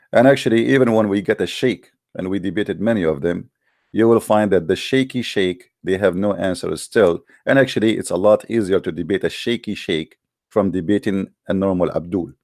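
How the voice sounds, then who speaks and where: Deep-voiced man with a non-native English accent. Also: darkish tone, no echo, studio quality. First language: not English. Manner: challenging, confrontational, with rhetorical questions. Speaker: host of an online religious debate call-in show. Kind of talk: informal polemical monologue to live online audience.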